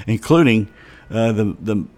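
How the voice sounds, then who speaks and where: A middle-aged man with a slightly hoarse voice, ranting in a chatty monologue with many fillers and restarts. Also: on the low side, expressive, unhurried, darkish tone. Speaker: vlogger. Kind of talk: casual rambling monologue.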